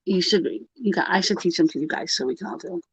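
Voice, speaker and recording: A woman's voice: medium pitch, sing-song, fast, vocal fry, husky, no room sound. A woman co-hosting a pagan livestream, chatting casually and laughing often as she rambles through the topic. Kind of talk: casual group chat on a live video call. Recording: dull dry video-call audio.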